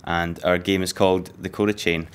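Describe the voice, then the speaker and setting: Man, team leader; deep, smooth, monotone, calm. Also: normal speed. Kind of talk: casual interview answers.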